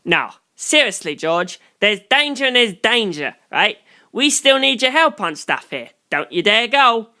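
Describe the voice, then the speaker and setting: A reader voicing an excitable, slangy male investigator, pitched up. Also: a little slow, heavily acted. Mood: alarmed, insistent. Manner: emphatic, slangy, profane. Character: excitable, blunt male investigator warning his friend by phone.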